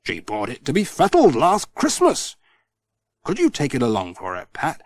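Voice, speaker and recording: A man's voice: a little high, huge pitch swings, normal speed, dry recording. A man voicing an easygoing rural handyman in a children's storybook, plain-spoken and matter-of-fact. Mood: helpful, casual. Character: Ted Glenn, easygoing rural handyman, male.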